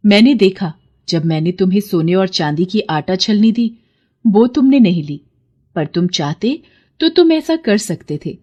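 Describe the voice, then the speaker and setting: A woman's voice: medium pitch, mellow. A woman voicing a kind but stern pond goddess in a storybook tale, solemn and benevolent. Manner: solemn, benevolent, scolding the greedy.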